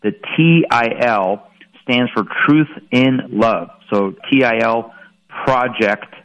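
A man's voice: mid-range voice, takes it slowly, poor audio. A man in his forties from Iran, answering questions as a guest in a radio interview. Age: 40s.

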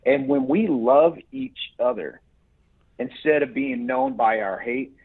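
A husky, somewhat breathy man calls in as a guest, heard over a narrow, muffled phone line. He answers in an earnest, preacherly way, often restarting his sentences. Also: medium pitch, slow.

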